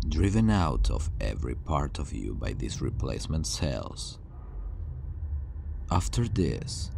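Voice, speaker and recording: A man playing a calm, self-assured superhuman villain scientist who disdains humanity, speaking in a hushed, grandiose, deliberate way in an ASMR roleplay. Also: extremely low pitch, creaky, noisy background, heavily acted. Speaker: ASMR voice actor.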